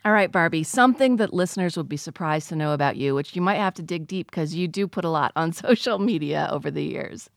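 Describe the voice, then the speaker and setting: A woman with a low voice leads an interview, asking short, direct questions. The sound is close and dry, with no room around it. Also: expressive, quick pace, creaky, few pauses, no echo.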